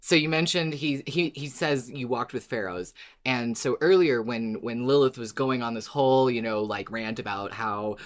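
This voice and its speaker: A man's voice: mid-range voice, normal speed, few pauses. Man co-hosting a casual fan podcast. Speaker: podcast co-host.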